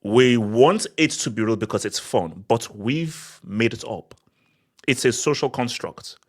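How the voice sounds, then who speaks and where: A man from Nigeria co-hosting a football podcast, talking in an animated, emphatic way and often repeating words as he builds a point. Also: mid-range voice, a little slow. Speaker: podcast co-host. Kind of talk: casual football discussion.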